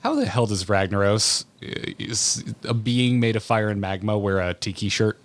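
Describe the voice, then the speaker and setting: Low-voiced man hosting a casual podcast. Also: lively intonation, normal speed. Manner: playful mock-serious questioning. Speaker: podcast host. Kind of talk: casual interview questioning.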